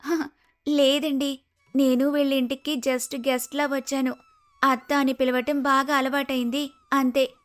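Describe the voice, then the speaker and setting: A woman voicing a cheerful, respectful young woman in an animated moral story. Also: high voice, unhurried, faint music behind. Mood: friendly, reassuring. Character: brave, cheerful, respectful young woman, a helpful house guest.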